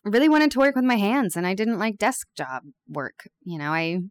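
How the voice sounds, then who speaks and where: A woman's voice: mid-range voice, sing-song, moderate pace, smooth. A woman in her thirties, a bakery owner, talking openly and candidly as an interview guest with some self-deprecating humor. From born in Peru, raised abroad. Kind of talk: casual interview chat.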